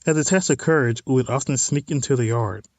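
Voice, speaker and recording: A man's voice: medium pitch, fast, a little mellow, no echo. A man hosting a let's play of a visual novel, reading text aloud and adding informal, wry asides. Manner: informal, wry side comments on what is read.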